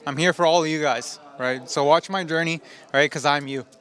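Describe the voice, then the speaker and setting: A man's voice: fairly high pitch, steady tone, normal speed, fairly bright tone. A man speaking as a first-time filmmaker in a casual interview, earnest and motivational, often checking in with tag questions. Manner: earnest, often tags phrases with "right?".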